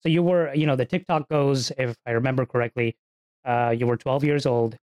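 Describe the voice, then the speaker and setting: A man's voice: medium pitch, fairly even intonation, brisk, a little mellow. Man hosting an interview podcast. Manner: reads a scripted intro, then asks friendly conversational questions. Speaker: podcast host.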